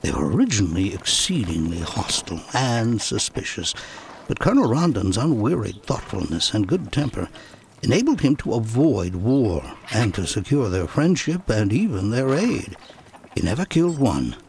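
Male narrator for memoir audiobook: animated pitch, gravelly edge, deliberate dramatic phrasing. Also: a little hiss or hum. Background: music.

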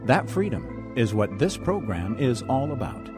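A man announces a scripted radio program with measured drama. His mid-range voice rises and falls widely, over clear music. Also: mid-range voice, sing-song.

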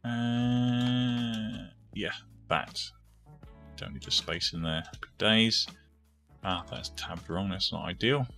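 Deep-voiced man narrating a coding tutorial. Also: slow pace, vocal fry, long pauses, bright, forceful tone, soft background music. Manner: informal, thinking aloud while typing. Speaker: tutorial host.

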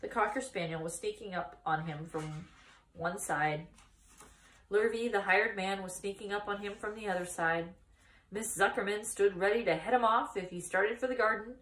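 A woman in her thirties reading a children's book aloud as expressive storytime narration. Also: medium pitch, moderate pace. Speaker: storytime reader. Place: small indoor room, seated by a wall. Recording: echoey room, distant microphone.

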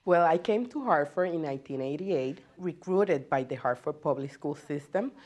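A woman in her forties with a Puerto Rican accent, a native Spanish speaker and teacher, talking earnestly about herself in a measured way. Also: deep voice, lively intonation, darkish tone. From Puerto Rico. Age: 40s. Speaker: bilingual special education teacher. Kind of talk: personal interview testimony.

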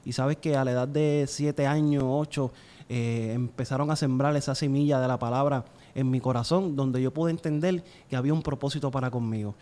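A man's voice: mid-range voice, fairly even intonation, brisk, warm tone. A man in his thirties with a Puerto Rican accent tells his personal story as an interview guest, earnestly and fluently, close to a studio microphone. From Puerto Rico. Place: small indoor studio. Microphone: close studio microphone on a boom arm.

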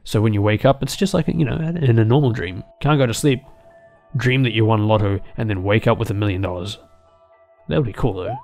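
A man's voice: on the low side, brisk, a little mellow. A man giving casual gameplay commentary as a video narrator, with a dryly joking manner. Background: game music behind the voice.

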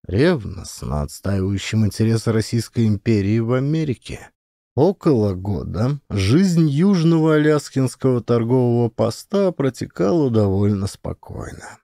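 A man's voice: lowish voice, warm tone, dry recording, studio quality. A man reading a scripted historical adventure story as an audiobook narrator.

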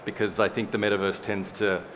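A man in his forties, with a very low voice, speaks as a conference panelist through a headset mic. He is candid and a little wry. A faint hum runs beneath him. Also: flat delivery, a little fast, fairly bright tone. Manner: candid, wry, with a casual swear. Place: large conference hall stage.

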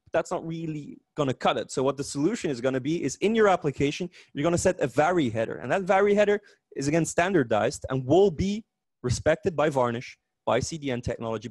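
A man in his forties with a Dutch accent, a native Dutch speaker, giving a technical meetup talk in a lively, joking way with frequent restarts. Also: on the high side, dry recording. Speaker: conference speaker. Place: meetup room.

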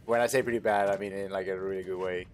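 A man's voice: sits low, fairly even intonation, quick pace. A man giving casual, playful, slangy commentary while he plays a video game. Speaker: gaming content creator. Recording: game noise behind the voice. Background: video game sounds.

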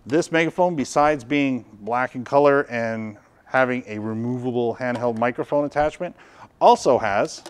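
A man's voice: medium pitch, normal speed, smooth. Middle-aged man presenting a product demo. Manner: explanatory, conversational. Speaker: product reviewer and video host. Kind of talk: casual product demonstration. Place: indoor studio workshop at a tabletop.

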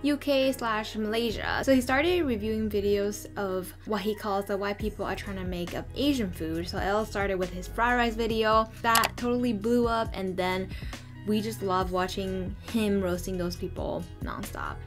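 A woman's voice: medium pitch, moderate pace, soft background music. Bubbly young woman reaction vlogger. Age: young adult. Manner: bubbly, chatty, gushing. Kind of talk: casual reaction commentary. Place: bedroom, indoors.

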